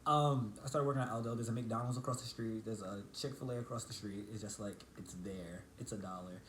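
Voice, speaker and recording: A man's voice: fairly even intonation, brisk, echoey room, slight background noise. A man in his twenties chatting casually with his partner on a couple's vlog, playful and joking. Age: 20s. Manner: playful, jumps high when mimicking his partner. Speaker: couple vlogger. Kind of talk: casual chat.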